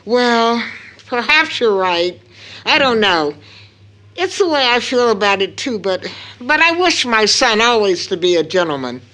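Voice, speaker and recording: An actress playing a caring, proper middle-aged mother in an audio drama of an early 1900s play, with an earnest, measured manner. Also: fairly high pitch, sing-song, pushed and bright, exaggerated voice. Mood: earnest, concerned.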